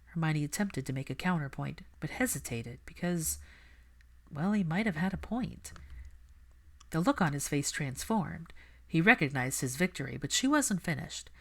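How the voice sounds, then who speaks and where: A woman's voice: sits low, expressive, normal speed. A woman narrating fiction as an audiobook reader, in a scripted storytelling style.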